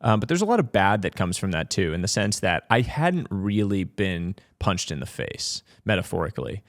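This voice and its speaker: A man from Massachusetts speaks as a podcast guest, reflecting on his past in an unhurried, searching way and often pausing on fillers. His voice sits fairly low. The sound is close and dry, with no room around it. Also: very animated, no echo. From Massachusetts, USA. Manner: thoughtful, lots of "um" and "like" fillers. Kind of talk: reflective interview storytelling.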